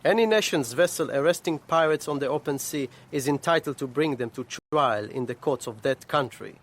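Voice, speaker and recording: An actor as higher-voiced young naval lieutenant. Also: male voice, normal speed, natural voice. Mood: firm, official. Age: young adult. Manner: formal, official, precise. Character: sharp-eyed young Israeli naval lieutenant, formal and firm. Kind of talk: radio drama acting.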